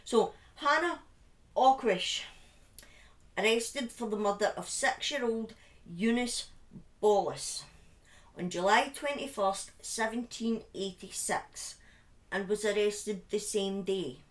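A woman's voice: mid-range voice, slow pace. A middle-aged British woman with a speech impediment, chatting casually and telling stories on a livestream in an informal, dramatic way. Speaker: live streamer telling true crime stories. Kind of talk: casual livestream chat and storytelling. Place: small dim room indoors. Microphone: desk microphone on a boom arm, close.

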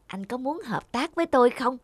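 A woman's voice: high-pitched, lively intonation, a little fast. A woman voicing a small, timid, earnest cartoon mouse with a hesitant, pleading manner. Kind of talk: animated moral fable dubbing. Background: music.

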